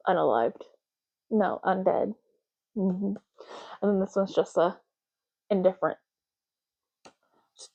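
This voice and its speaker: A soft-spoken woman hosting an ASMR roleplay, talking in an unhurried, rambling way as if privately to the listener. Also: medium pitch, muffled recording.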